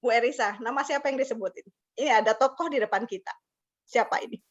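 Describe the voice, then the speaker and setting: Woman presenter, bubbly workshop leader, slightly high voice, lo-fi video-call sound. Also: fast talker, background noise. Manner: chatty, mixes English words, laughs often. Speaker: webinar presenter for teachers. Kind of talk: lively online teacher-training talk. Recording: slightly dull lo-fi call audio.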